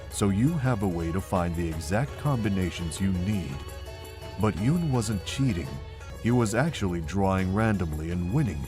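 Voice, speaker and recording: A man voicing a weary, kindly older monk with a measured, earnest way of telling things, in a fan visual novel. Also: low voice.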